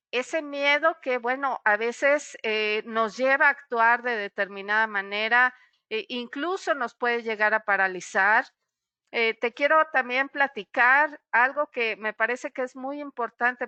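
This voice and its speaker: A middle-aged woman speaks as a psychologist leading an online session, talking directly to the listener and pausing on "eh" as she explains. Her voice sits a little high and rings bright and crisp. The recording is dry and close. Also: no room sound. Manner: warm-toned explanatory, frequent "eh" fillers. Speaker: psychologist giving a webinar. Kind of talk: webinar talk addressed to the listener.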